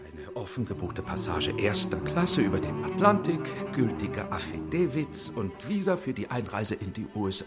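An actor playing an anxious, cultured film director in a radio play, a man who talks things over to himself. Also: fairly low pitch. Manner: muttering inventories to himself, crying out when frightened. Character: anxious film director, cultured, self-persuading husband and son. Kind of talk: German radio play acting.

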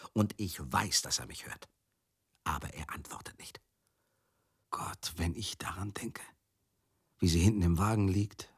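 An actor playing a world-weary man in a radio play, confiding a painful memory bitterly and tenderly in halting, repetitive, conversational phrases, with a husky voice that often drops to a murmur. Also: low-pitched, no room sound, heavily acted. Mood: anguished, haunted. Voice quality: gravelly, husky, often dropping to a breathy murmur. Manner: halting, repetitive, conversational, addressing listeners directly. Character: Teddy, world-weary male touring companion recalling a tragedy, bitter and tender. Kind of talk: radio play monologue, intimate confessional storytelling.

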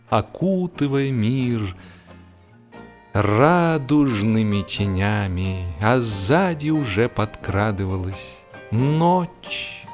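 A male audiobook narrator delivers humorous prose in a studio, with music behind him. His medium-pitched voice is theatrical and mock-lofty. He phrases expressively and leaves deliberate pauses. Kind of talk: dramatic humorous literary narration.

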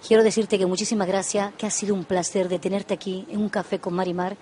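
A woman's voice: medium pitch, level tone, fast talker, dark tone, a little hiss or hum. A woman hosting a live radio interview, effusive and affectionate, often repeating and restarting her phrases. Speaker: radio show host.

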